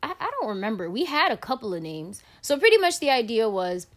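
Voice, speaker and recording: A woman's voice: fairly high pitch, sing-song, a little fast. A young adult woman hosting a casual podcast, blunt and teasing, swearing freely and laughing often with her siblings. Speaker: podcast host. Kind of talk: casual profane family chat. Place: Florida home.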